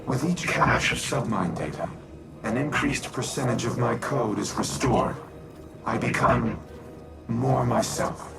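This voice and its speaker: A cold, resolute war machine speaks in a low, gravelly register, weighing each word,. A loud orchestral score plays behind the game dialogue. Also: male voice. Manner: measured, calculated, grave. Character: ancient male warmind AI, cold and resolute. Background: loud score music.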